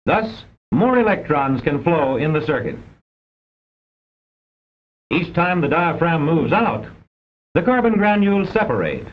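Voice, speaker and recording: Man, American accent, formal educational film narration, very lively intonation, precise measured delivery, muffled old soundtrack. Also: a little high. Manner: measured, didactic, precise enunciation. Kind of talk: formal scripted narration of a vintage instructional film. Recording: dull, band-limited old film soundtrack.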